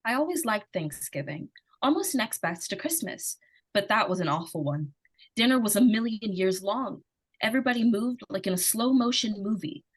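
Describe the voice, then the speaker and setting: A woman's voice: medium pitch, animated, moderate pace, natural voice. A woman playing an earnest, pleading young girl in old-time radio suspense drama, urgent and insistent. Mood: subdued, haunted. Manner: urgent, breathless repetition and pleading. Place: live stage radio-play performance.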